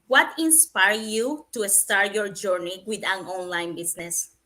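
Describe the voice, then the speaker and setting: A woman's voice: mid-range voice, animated, unhurried, sharp tone. A woman from Cuba co-hosting an online live show, cheerful and laughing easily. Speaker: co-host.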